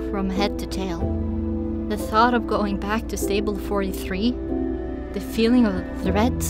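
A woman reading first-person dramatic audiobook narration, with expressive, deliberate phrasing and pauses. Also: medium pitch. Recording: music behind the voice.

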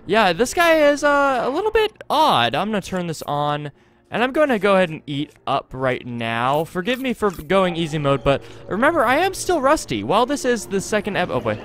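A man's voice: high voice, moderate pace. A man doing casual, chatty video game commentary as host of a series. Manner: animated, chatty, self-correcting. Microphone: close desktop USB microphone.

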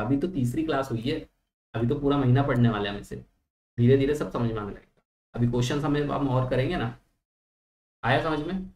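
A young man teaching an online class in mixed with terms, patiently reassuring students and checking that they follow. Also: medium pitch. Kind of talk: online lecture at a digital whiteboard. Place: small room indoors. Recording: roomy echo.

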